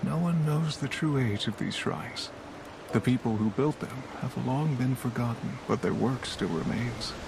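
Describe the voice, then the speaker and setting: An actor playing a wise older village elder who mentors a young spirit guide, speaking in calm, measured game dialogue over loud music. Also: male voice, mid-range voice, vocal fry, background noise. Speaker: mentor character.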